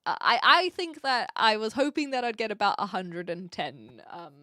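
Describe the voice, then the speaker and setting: A woman from New Zealand with a posh Kiwi accent, chatting casually as a livestream host in an expressive, giggly way. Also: mid-range voice, normal speed, no echo, clean studio sound. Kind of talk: casual livestream chat, answering viewer comments.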